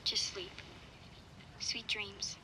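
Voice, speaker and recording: A woman playing a wary, reflective young girl who narrates an audio diary in a measured, matter-of-fact way. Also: medium pitch, level tone, bright, forceful tone, a little hiss or hum, heavily acted, rough recording. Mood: wistful, uneasy. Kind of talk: first-person audio log narration.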